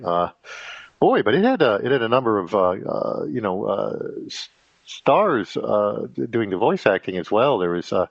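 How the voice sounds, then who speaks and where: A man with a very deep voice rambles through a casual podcast monologue, halting on fillers and restarting his sentences. The recording is dry and close, with a dull, lo-fi tone. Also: creaky, no room sound. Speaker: podcast host.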